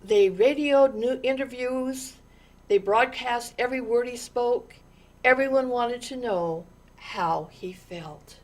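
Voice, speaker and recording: A woman with a fairly high voice narrates a storybook for children. Her delivery is very slow and deliberate, shaped by dramatic pauses and expressive stresses on the words that matter. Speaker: storytime reader.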